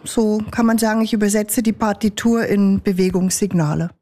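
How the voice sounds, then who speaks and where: A woman's voice: mid-range voice, fairly even intonation, moderate pace, warm tone. A woman speaking in an interview as an interpreter, in everyday conversational phrasing. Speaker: sign language interpreter. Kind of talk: interview answers and spoken interpreting.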